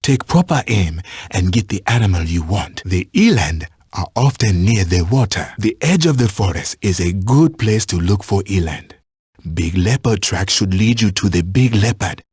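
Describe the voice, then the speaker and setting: A man playing a watchful African safari hunting guide in a video game, giving instructions in measured, formal phrasing. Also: deep voice, animated, a little mellow, husky, no room sound, clean studio sound, heavily acted. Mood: calm, watchful. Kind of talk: scripted video game voice lines.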